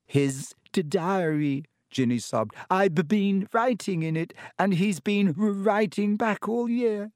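A man's voice: high-pitched, expressive, slow pace, few pauses, a little mellow, dry recording, clean studio sound, pitched above the performer's own voice. A man voicing a frightened young girl who sobs and stammers. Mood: tearful. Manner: stammering, tearful.

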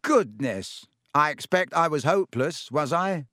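A man's voice: fairly high pitch, lively intonation, measured pace, fairly bright tone, dry recording, studio quality, voice pushed up. A man voicing a vague, cheerful male wizard who has lost his memory, airy and bemused.

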